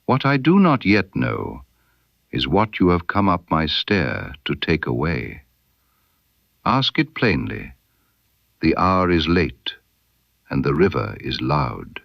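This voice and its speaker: A man voicing a cool, knowing woman informant in a mystery story, speaking softly and deliberately with guarded amusement. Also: extremely low pitch, very animated, creaky, no room sound, dull audio, heavily acted. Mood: cool, challenging. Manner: soft, deliberate, veiled warnings.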